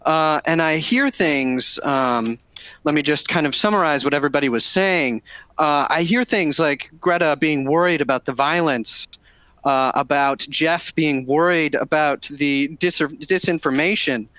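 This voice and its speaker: A man with a fairly high voice gives reflective panel remarks. He talks over a video call and hesitates a lot, with many fillers and restarts. The sound is dry and close, with no room echo. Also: measured pace, sharp tone. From born in Germany, raised in the United States. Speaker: panel speaker.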